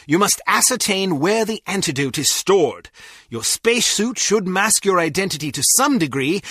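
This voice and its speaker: A stern intelligence chief in a spy game, pitched high for a man and very animated. The diction is formal and measured, with a husky edge and full authority. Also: no echo, clean studio sound, heavily acted. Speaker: mission briefer. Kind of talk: scripted game mission briefing.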